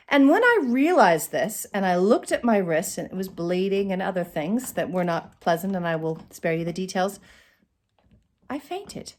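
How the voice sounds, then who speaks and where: A middle-aged woman telling a personal comic story to camera in a theatrical, expressive way with dramatic pauses. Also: medium pitch. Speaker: storyteller, channel host. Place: indoors, small curtained room. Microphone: phone at arm's length.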